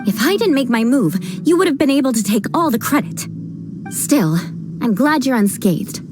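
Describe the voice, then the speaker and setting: A woman's voice: sits high, animated, normal speed. An actress playing a proud young noblewoman knight captain who talks with dry haughtiness and vows vengeance over every little slight. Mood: cool. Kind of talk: video game voice acting. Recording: game dialogue with background music.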